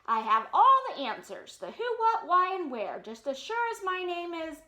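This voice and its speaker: A woman's voice: extremely high pitch, sing-song, normal speed, bright, forceful tone, exaggerated voice. A woman voicing a confident, lecturing father bear in a children's storybook. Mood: proudly confident. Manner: hearty, self-assured, lecturing.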